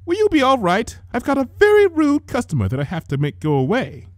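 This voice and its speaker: Man putting on a high, prim lady unicorn. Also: huge pitch swings, brisk, dry recording, clean studio sound, heavily acted. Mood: soothing, fussing. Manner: prim, motherly, dramatic. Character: female unicorn pony, doting sister with fiery temper.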